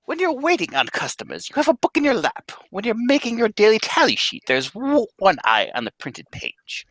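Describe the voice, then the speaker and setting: A man's voice: sits high, huge pitch swings, normal speed, fairly bright tone, no echo, heavily acted. A man playing a pompous, lecturing bank president. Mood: stern lecturing. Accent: American. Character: pompous stern male bank president, middle-aged. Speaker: live script reader. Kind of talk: live radio-play reading.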